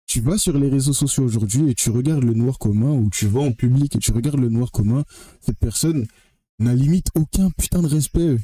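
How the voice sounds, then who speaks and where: A man's voice: medium pitch, a little fast, mellow, slightly rough, dry recording. A young man from French Guiana giving a blunt, emphatic opinion monologue to the camera, swearing freely and often repeating or restarting his phrases. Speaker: vlogger.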